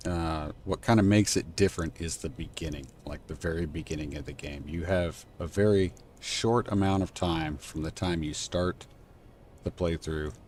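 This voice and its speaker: A man streaming a game from home, thinking aloud and answering chat in a soft, unhurried voice. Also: slight background noise. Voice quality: low, soft, relaxed voice. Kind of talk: casual live-stream gameplay chat.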